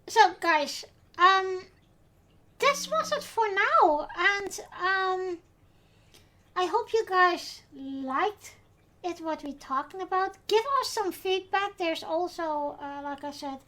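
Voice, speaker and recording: A Dutch woman hosting a podcast, with a notably high voice. She speaks English as a second language, hesitating often and filling pauses with "uh" and "um." The tone is relaxed and chatty. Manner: hesitant, many filler sounds. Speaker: podcast host. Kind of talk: casual podcast chat.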